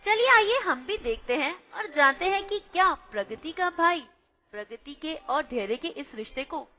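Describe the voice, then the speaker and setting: Lively high-voiced woman narrator. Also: a little slow. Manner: lively, teasing commentary. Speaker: narrator of a radio play. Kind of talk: scripted narration. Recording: dull lo-fi recording.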